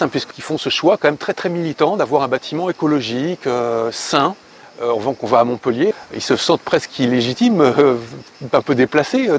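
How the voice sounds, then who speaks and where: A man talks quickly in a medium-pitched voice, giving casual interview testimony. He is colloquial and repeats words as he goes, with some ambient noise behind him. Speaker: building design professional. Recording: noticeable ambient background noise.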